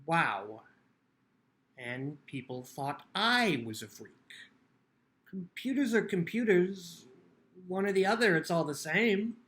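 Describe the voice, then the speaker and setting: A man's voice: high voice, lively intonation, slow pace, long pauses, a bit bright, exaggerated voice. A man playing an earnest, lonely teenage boy who tells his own story in plainspoken, cheeky words. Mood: wry. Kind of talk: first-person dramatic book reading.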